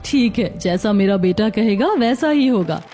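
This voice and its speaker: A woman's voice: mid-range voice, lively intonation, unhurried, mellow, lightly acted. A woman playing a caring, sensible young mother and daughter-in-law in a cartoon family drama. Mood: affectionate.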